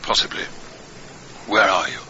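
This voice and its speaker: An actor with a British accent playing a brisk, authoritative intelligence chief, terse and formal, heard as a telephone voice in a radio drama. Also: male voice, sharp tone, muffled recording, a little hiss or hum. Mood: brisk, probing.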